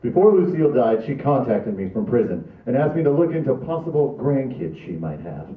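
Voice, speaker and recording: A man's voice: mid-range voice, sing-song, fairly quick, natural voice. A man reading the part of a chummy, money-minded private investigator in a casual comedy table read. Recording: roomy, distant, phone-like sound.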